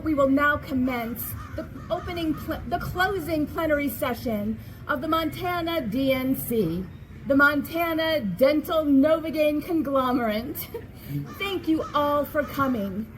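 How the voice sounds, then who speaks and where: An actress playing a grandiose, chirpy event announcer in a parody for the comic stage. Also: female voice, sits high. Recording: noisy live stage play recording.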